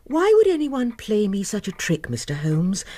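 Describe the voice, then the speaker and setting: A husky, agitated respectable lady. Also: mid-range voice, huge pitch swings, normal speed, warm tone. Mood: indignant, bewildered. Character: respectable, upset, bewildered English lady. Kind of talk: BBC radio drama acting.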